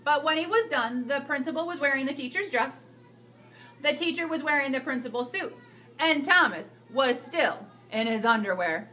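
A woman in her thirties reading a picture book aloud to children, with expressive, drawn-out emphasis. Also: high voice, slight background noise. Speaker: school staff storyteller reading to children. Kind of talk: animated children's read-aloud.

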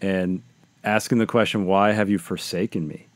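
A man's voice: deep voice, fairly even intonation, moderate pace, no room sound. A man co-hosting a podcast discussion about faith in a conversational style. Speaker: podcast co-host.

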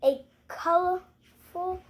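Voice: very high voice, extremely slow, a little hiss or hum. A young child hosting a read-aloud, reading a picture book with playful asides and exclamations. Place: living room at home, indoors.

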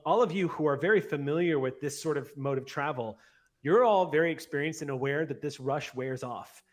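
Measured man narrating a roleplay game. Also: fairly high pitch. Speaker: game master. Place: indoor room at home, on a video call. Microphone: close desk microphone on an arm.

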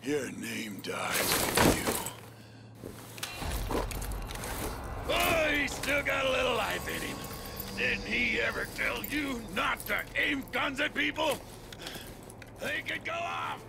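A menacing renegade soldier from a military video game, sadistic and mocking. His pitch swings widely as he toys with people, and gravel creeps into the voice. Loud noise sits behind him. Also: big pitch swings, a little slow, heavily acted. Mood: furious gloating. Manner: taunting, mocking, theatrical. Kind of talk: video game cutscene acting.

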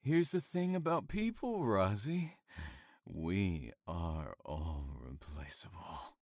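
A man acts out a coolly cruel serial killer in an audio drama. His deep voice swoops up and down as he taunts, turning from soft menace to volatile outbursts, recorded close and dry. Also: expressive, slow pace, no echo, heavily acted. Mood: coldly gloating. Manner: taunting, theatrical, swinging between soft and explosive.

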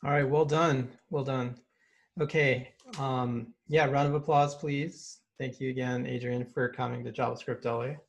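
A man's voice: mid-range voice, steady tone, moderate pace, vocal fry, highs cut off. A man in his thirties hosting an online meetup over a webcam, upbeat and casual, with lots of "you know" and "um". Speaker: meetup organizer and host. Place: indoor room with brick wall. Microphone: webcam on video call.

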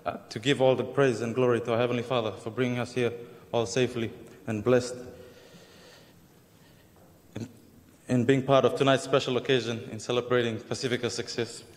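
A young man from Fiji gives an earnest, formal acceptance speech at an awards ceremony, now and then adding a light joke. His delivery stays flat and even. The sound carries the long reverb of a large hall. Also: mid-range voice, a little fast. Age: young adult. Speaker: award recipient.

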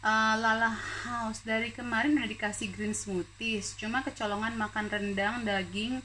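A chatty woman from Indonesia cooks at her stove while talking to her viewers, laughing now and then. Her voice is bright and forward. A faint hum of kitchen ambience sits behind her. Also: fairly high pitch, little pitch movement, normal speed. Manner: chatty, laughs often, code-switches into English words. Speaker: cooking show host. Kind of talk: live-stream cooking chat, reading viewer questions.

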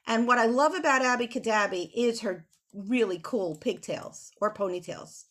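High-voiced middle-aged woman teaching kids. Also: normal speed. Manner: animated, simple kid-directed explanations with emphatic words. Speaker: children's author and illustrator leading a drawing lesson. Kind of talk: children's drawing lesson, talking to kids. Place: small home room with bookshelves. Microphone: computer mic on a video call.

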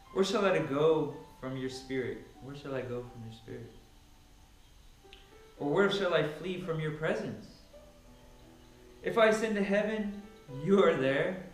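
A man speaking as a Bible reader at home, recorded in a large room by a camera some distance away. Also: medium pitch. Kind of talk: casual introduction, then dramatic scripture reading. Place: large, sparsely furnished living room at home. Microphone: camera a few metres away. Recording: echoey room, picked up from a distance.

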